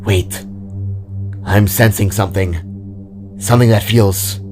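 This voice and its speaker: A man's voice: lowish voice, fairly even intonation, slow pace, over music, voice pushed down. A man voicing a wary, observant female warrior in a fantasy story, careful and concerned. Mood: curious.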